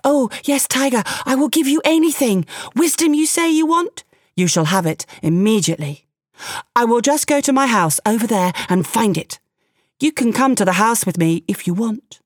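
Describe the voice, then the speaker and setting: In a storybook telling, a crafty little man fusses and flatters in a high, hurried voice, nervous on the surface and scheming underneath, as a woman puts him on. His pitch jumps well above a normal speaking voice. The sound is dry and close. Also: no room sound. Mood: fawning, mock-flustered. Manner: flustered, ingratiating, high and quick. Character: sly fawning man tricking a tiger.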